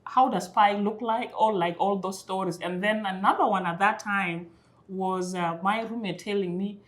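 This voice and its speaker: A young woman from Kenya telling stories in a relaxed conversation, repeating words as she starts and laughing along. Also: mid-range voice, moderate pace. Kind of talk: conversational storytelling.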